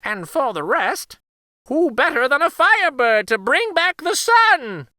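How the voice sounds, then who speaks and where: A man's voice: very high-pitched, very animated, unhurried, sharp tone, no echo, clean studio sound, voice pushed up, exaggerated voice. A man voicing a grand, motherly female firebird from a fairytale, magical and kindly imperious, with a lofty delivery. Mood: breezy, assured.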